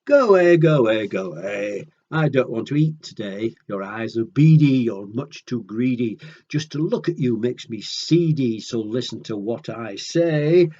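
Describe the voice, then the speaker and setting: A cross, fussy female storybook bird, acted out by a man, loud and emphatic with big swoops of pitch. The rhymes come out as firm, huffy refusals, on a slightly muffled recording. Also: expressive, a little slow. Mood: cross, dismissive. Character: grumpy female bird shooing everyone away crossly.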